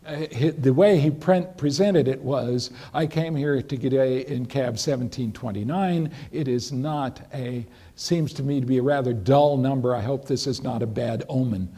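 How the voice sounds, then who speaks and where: An older man lectures in a hall, wandering through an anecdote with restarts and asides. His voice is mellow and dark, with a husky edge. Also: mid-range voice, moderate pace, hardly any pauses. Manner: rambling anecdotal delivery with restarts. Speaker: professor giving a talk. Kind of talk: storytelling lecture with Q&A.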